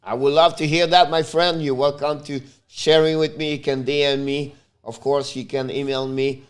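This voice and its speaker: A man with a Quebec French accent, French his first language, calls the game and chats with viewers on a casual live stream. His voice sits a bit high for a man. He breaks into loud excited outbursts. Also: moderate pace. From Montreal, Canada. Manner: talks straight to viewers. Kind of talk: casual live play-by-play and chat.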